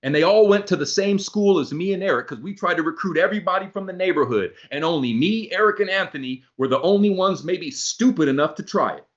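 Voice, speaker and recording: A man giving a motivational talk to a group, animated, acting out both sides of his stories. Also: high voice, fast talker, few pauses. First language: not English. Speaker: motivational speaker. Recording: dull lo-fi sound.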